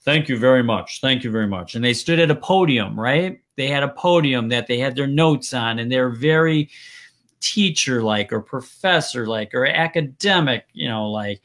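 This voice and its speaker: A man's voice: mid-range voice, expressive, moderate pace, a bit bright. A man from Wisconsin with a Wisconsin accent, hosting a casual interview and rambling with frequent restarts. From Wisconsin, USA. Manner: rambling, restarts sentences often. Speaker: podcast host.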